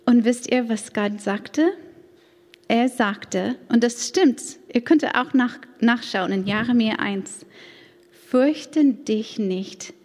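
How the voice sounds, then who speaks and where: A woman's voice: on the high side, slow pace, darkish tone. A woman in her thirties from the United States, a native English speaker with an American accent, giving an earnest, careful personal testimony in German at church, with a few grammar slips. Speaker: church women's ministry leader. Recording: long hall reverb.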